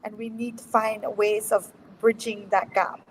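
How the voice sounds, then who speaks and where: A woman's voice: mid-range voice, flat delivery, measured pace, in a large hall, slight background noise, low-quality recording. Passionate woman educator, emphatic. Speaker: educator on a panel. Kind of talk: panel discussion.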